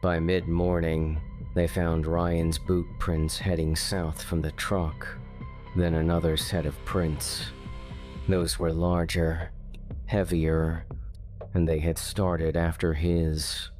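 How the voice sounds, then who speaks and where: Deep, slow, flat-voiced male narrator. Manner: slow, measured, ominous delivery. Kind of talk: scripted horror narration. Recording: faint music underneath.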